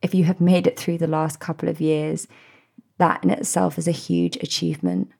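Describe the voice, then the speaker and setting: Woman, 30s, podcast host, mellow calm voice with some gravel. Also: low voice, moderate pace. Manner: gentle, soothing, heartfelt. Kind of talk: reflective solo podcast address.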